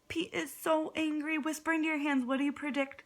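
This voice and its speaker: A woman's voice: sits high, flat delivery, a little fast. A woman kindergarten teacher reading a story aloud expressively, guiding young listeners with simple questions and dramatic phrasing.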